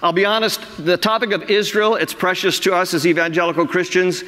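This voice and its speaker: A man's voice: high-pitched, steady tone, fairly quick, sharp tone. A man preaching an emphatic sermon as pastor, reading quotes aloud from the pulpit. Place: large church sanctuary. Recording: long hall reverb.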